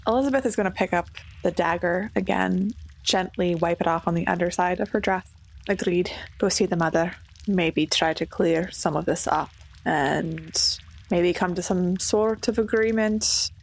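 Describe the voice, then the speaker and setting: Lowish-voiced woman role-playing. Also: normal speed, vocal fry. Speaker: tabletop game player. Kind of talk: improvised role-play and narration.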